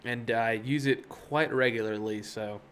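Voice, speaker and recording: A man's voice: mid-range voice, fairly even intonation, unhurried, fairly bright tone, slight background noise. Rambling young man on a live stream. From Miami, USA. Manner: rambling and chatty, full of fillers and asides. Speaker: day trading livestream host. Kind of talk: casual chat on a live stream.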